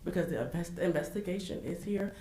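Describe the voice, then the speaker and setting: A hoarse woman steps up to a podium in an echoey council chamber and speaks earnestly. Her delivery halts now and then with fillers, with faint noise underneath. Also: fairly low pitch, a little fast, dark tone. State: hoarse from illness. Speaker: public commenter. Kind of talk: public comment at a council meeting.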